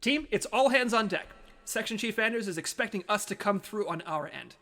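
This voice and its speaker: A man's voice: sits high, lively intonation, fairly quick, pushed and bright, heavily acted. An actor playing an earnest, eager male team member in a comedic audio drama. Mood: eager, dutiful.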